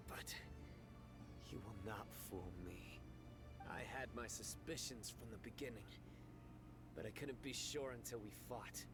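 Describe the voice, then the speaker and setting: An actor playing a stern, principled warrior in a video game, speaking in a grave, measured, unemotional way. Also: male voice, mid-range voice, lightly acted. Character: stern, principled male judge-like warrior, calm and resolute. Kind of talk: dramatic video game voice acting.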